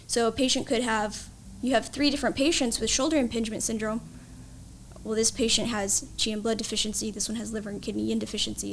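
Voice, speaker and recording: A woman's voice: fairly high pitch, gravelly, slight background noise. A woman explaining her field as a guest expert in an interview, in an instructive, matter-of-fact way.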